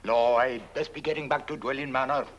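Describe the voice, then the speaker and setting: A man's voice: mid-range voice, fairly even intonation, fairly quick, lightly acted. A man playing a genial working-class British gravedigger and pub regular in a comic film. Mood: genial, casual. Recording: dull, noisy old film soundtrack.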